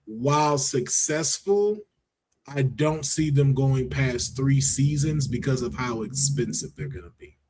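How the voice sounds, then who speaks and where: A man's voice: mid-range voice, unhurried, noisy background. An American man chatting casually as an opinionated podcast panelist, slangy and given to emphatic repetition. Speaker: podcast panelist judging picks.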